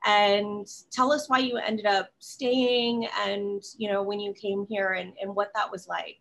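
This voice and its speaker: A woman's voice: medium pitch, flat delivery, unhurried, rough recording. A young woman hosts a casual interview over a video call from her kitchen. She sounds admiring and fills her speech with ums. Her questions are loose and chatty. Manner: warm, admiring, many fillers. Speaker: chef host. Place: home kitchen.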